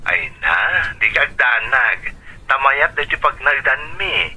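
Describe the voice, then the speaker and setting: A man's voice: medium pitch, lively intonation, a little slow, bright, forceful tone, husky, exaggerated voice, low-quality recording. A man playing a cheerful, reassuring uncle calling from abroad in an Ilocano radio drama, through a telephone filter.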